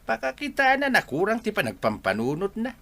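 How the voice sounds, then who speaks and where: A man's voice: high voice, wildly swooping pitch, brisk, creaky, pushed and bright, exaggerated voice. A man acting a gossipy, critical neighbour in an Ilocano radio drama. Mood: critical gossip.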